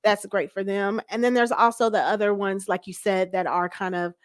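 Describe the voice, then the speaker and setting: A woman's voice: medium pitch, fairly even intonation, normal speed, dry recording, clean studio sound. A woman interview guest chatting casually and explaining her views in a candid way. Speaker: guest, church first lady.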